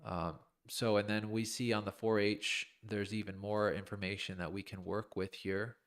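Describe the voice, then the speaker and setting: A man with a fairly low voice gives live trading commentary as he works. His voice is a little worn, as if he is losing it, and he talks informally, piecing his thoughts together as he goes. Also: little pitch movement, moderate pace. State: losing his voice, clearing his throat. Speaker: crypto trading streamer. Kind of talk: live chart analysis commentary, thinking aloud.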